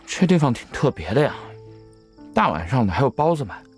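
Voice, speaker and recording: A young male cultivator played by a man in a Chinese audio drama, wary and quietly troubled as leader of his group. His mid-range voice moves widely up and down, with music underneath. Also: medium pitch, very animated, fairly quick, lightly acted. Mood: casual.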